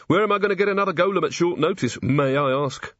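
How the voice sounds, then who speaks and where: A man's voice: on the high side, fast, a bit bright, no echo, lo-fi sound, pitched above the performer's own voice, lightly acted. A man voicing a blunt, complaining, flippant timber merchant with colloquial speech, in an audiobook. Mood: grumbling.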